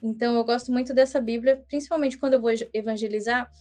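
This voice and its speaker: A young woman whose first language is English, telling her story as a guest on a podcast in an earnest, measured way with small restarts. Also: a little high, fairly even intonation, mellow, soft background music. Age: young adult. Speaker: guest missionary. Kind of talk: casual podcast interview.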